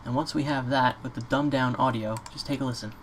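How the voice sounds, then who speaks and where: A man giving a casual, off-the-cuff software tutorial in an informal, slightly hesitant way. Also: medium pitch, steady tone, fairly quick. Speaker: tutorial presenter. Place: indoors at a computer. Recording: clear background noise, keyboard clicks.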